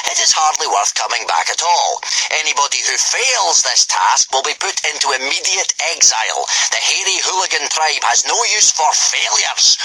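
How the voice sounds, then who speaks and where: A big, bullying Viking instructor for a children's storybook. The voice is raised high and loud, hard and sharp with a husky edge. He barks orders with taunting good cheer and swings widely in pitch. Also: no room sound, exaggerated voice, rough recording. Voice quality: full-volume, forceful. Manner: commanding, briskly cheerful, taunting. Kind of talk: children's audiobook character voice.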